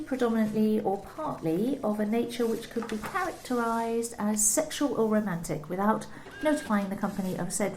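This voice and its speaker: An actress playing a guarded young female sales worker who answers haltingly in an amateur radio play. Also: medium pitch, dark tone, lightly acted. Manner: hesitant, defensive, halting. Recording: roomy home recording, faint hiss.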